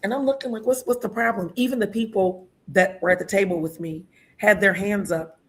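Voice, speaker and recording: A woman's voice: medium pitch, a little fast. A woman in her sixties hosting an interview show, chatty and laughing often. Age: 60s. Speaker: host. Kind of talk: casual interview talk.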